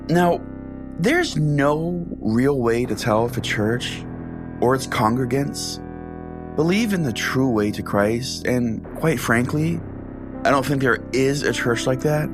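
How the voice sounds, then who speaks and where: A man hosting a comedy podcast, narrating a scripted first-person tale in a theatrical, earnest storytelling way. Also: medium pitch. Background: music bed under the voice.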